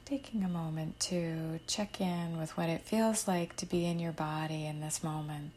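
A woman narrating a guided meditation in a soothing, unhurried, gently instructive manner, letting her phrase endings fall softly. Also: deep voice, fairly even intonation, a little hiss or hum.

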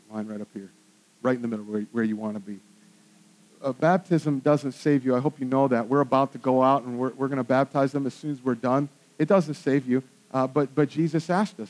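A man preaching as a church pastor, animated and conversational, with quick asides to the congregation. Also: mid-range voice, poor audio. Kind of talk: sermon.

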